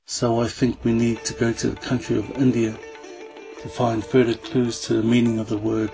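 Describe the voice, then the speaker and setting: A man, reading a scripted video narration in a measured, deliberate way and carefully spelling out terms. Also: medium pitch, little pitch movement, creaky, dark tone, faint music behind, low-quality recording. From Samoa, raised in New Zealand. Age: 40s. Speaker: YouTube presenter. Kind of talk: read-aloud scripted narration.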